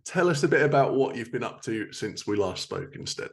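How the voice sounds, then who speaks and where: Male podcast host, mid-range voice, part scripted intro and part relaxed interview chat. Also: mid-range voice, a little fast.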